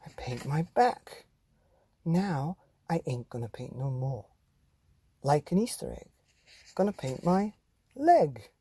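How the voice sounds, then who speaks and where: A man acts out a mischievous child from a picture book, chanting in playful rhyme. The delivery is sing-song and exaggerated, with drawn-out words and very lively pitch, recorded dry. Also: husky, no room sound, heavily acted. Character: mischievous child gleefully painting own body, chanting rhymes.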